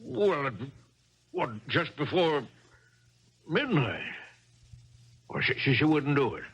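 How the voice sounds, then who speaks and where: A man's voice: a little high, big pitch swings, slow pace, fairly bright tone, breathy edge, heavily acted. A man acting an obsessive, stubborn, blustering father of a tennis player in a 1970s radio drama, with insistent protests. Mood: flustered denial. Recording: muffled vintage 1970s radio broadcast.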